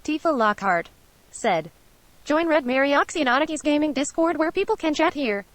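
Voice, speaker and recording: A woman's voice: sits high, animated, moderate pace, dry recording. A synthetic woman's voice reading out livestream chat messages in a literal text-to-speech manner.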